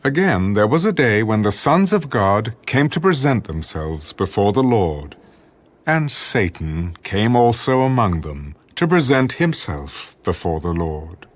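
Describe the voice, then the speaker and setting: A man's voice: on the low side, big pitch swings, measured pace, no echo. A man giving solemn, storytelling scripted narration for a dramatised scripture reading.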